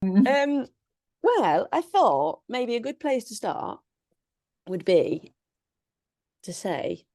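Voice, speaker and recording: A woman's voice: a little high, big pitch swings, very slow, long pauses, darkish tone, dry recording, clean studio sound. A British woman co-hosting a podcast in a casual, conversational style. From United Kingdom. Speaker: podcast co-host. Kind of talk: casual chat.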